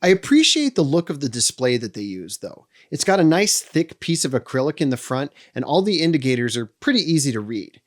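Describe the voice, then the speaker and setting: A man's voice: mid-range voice, big pitch swings, brisk, hardly any pauses. A man hosting a product walkthrough, explaining features step by step with dry humorous asides. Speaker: host of a how-to channel on second-hand audio gear.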